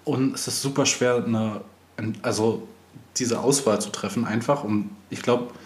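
A man's voice: medium pitch, a little slow, roomy sound. A man in his thirties from Berlin, a podcast guest chatting casually, thinking aloud with fillers and laughing easily. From Berlin, Germany. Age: 30s. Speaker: podcast guest, dance coach.

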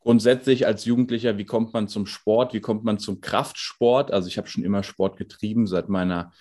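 A man's voice: lowish voice, normal speed. A man in his twenties, a strength and conditioning coach, explaining his work at ease in a podcast interview, with plenty of fillers. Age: 20s. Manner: relaxed, explanatory, with many fillers.

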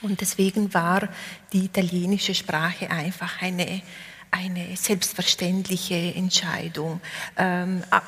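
A woman poet from South Tyrol whose first language is Ladin, answering interview questions hesitantly and pausing often to search for words. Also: fairly low pitch, flat delivery, fairly bright tone, long reverb tail. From South Tyrol, Italy. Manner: hesitant, searching for words with many pauses and fillers.

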